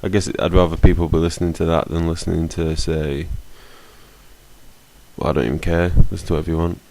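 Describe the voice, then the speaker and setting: A man's voice: low voice, flat delivery, fast talker, vocal fry, a little mellow. A young man co-hosting a casual podcast, sounding nasal from a cold, talking in a dry, flippant, offhand way. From England. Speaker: podcast co-host. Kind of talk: casual chat. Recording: faint hiss.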